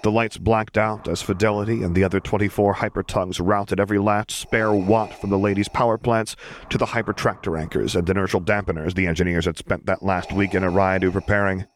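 A man's voice: lowish voice, a little hiss or hum. A man reading a story aloud in a scripted, dramatic narration style. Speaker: audiobook reader.

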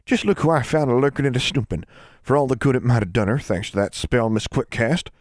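A man voicing a stern, folksy great-grandmother with an Ozark accent, scolding in a high drawling voice. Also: fairly quick, no room sound, clean studio sound, close to an everyday voice. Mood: mocking. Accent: Ozark rural American. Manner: scolding, drawling, high put-on old-woman voice. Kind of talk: audiobook character voice.

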